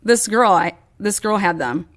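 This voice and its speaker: Woman, interview guest, casual storytelling, animated, rambling restarts, mid pitch. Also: unhurried. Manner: animated, acts out quoted dialogue.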